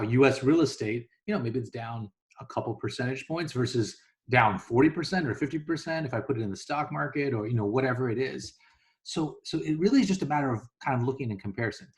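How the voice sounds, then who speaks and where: A man speaking as an investor guest in an interview, explaining things casually and acting out hypothetical thoughts as examples. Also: mid-range voice. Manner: conversational, illustrates points with hypothetical quoted thoughts.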